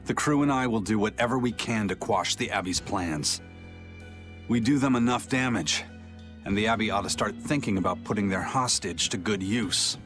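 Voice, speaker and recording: An actor playing a stoic, steady pirate in a game, measured and resolute. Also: male voice, fairly low pitch, natural voice. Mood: stoic resolve. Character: stoic, steady male pirate first mate. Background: music.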